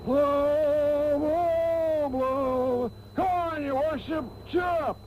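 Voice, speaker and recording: An actor playing an elderly, cheerful, loyal manservant in a comic radio soap parody. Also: male voice, very high-pitched, steady tone, very slow, phone-quality sound, slight background noise, exaggerated voice. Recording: music behind, taped radio broadcast.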